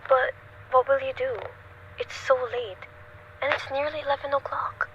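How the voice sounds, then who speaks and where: A woman's voice: high-pitched, level tone, normal speed, natural voice. A woman playing an anxious, demanding bedridden housewife in a radio suspense play, fretful, insistent and melodramatic. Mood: anxious pleading. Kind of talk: old-time radio suspense play acting. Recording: muffled lo-fi recording with background noise.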